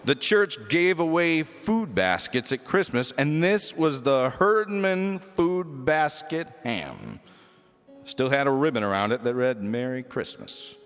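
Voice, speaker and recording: A man reading a humorous children's story aloud expressively, with dramatic pauses and emphasis. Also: a little high, sing-song, a bit bright, big-room sound, faint music behind.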